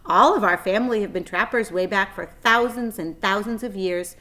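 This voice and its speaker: A woman's voice: mid-range voice, moderate pace, a bit bright, lightly acted. A woman voicing a clever, kindly female spider, articulate and matter-of-fact, with a pleasant thin voice.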